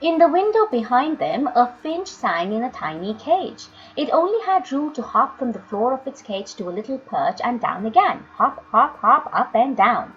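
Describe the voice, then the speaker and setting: A woman storyteller reading a children's book aloud with expressive, dramatic, emphatic phrasing. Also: on the high side, normal speed, hardly any pauses. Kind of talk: expressive children's audiobook narration. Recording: dull lo-fi audio, music underneath.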